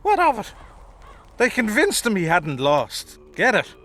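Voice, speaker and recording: Casting: combative drinking soldier, fantasy game, sharp forceful voice, coarse common speech. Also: male voice, high-pitched, wildly swooping pitch, unhurried, a little hiss or hum, heavily acted. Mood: agitated, bitter. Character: blunt, boozy, grumbling common soldier in a fantasy army. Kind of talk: video game dialogue acting.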